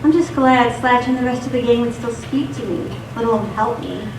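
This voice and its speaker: A woman's voice: on the high side, fairly quick, natural voice. A woman acting an anxious, trusting corporate whistleblower in a live radio play. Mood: earnest, relieved. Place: theatre stage. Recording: echoey stage, distant mic, background noise.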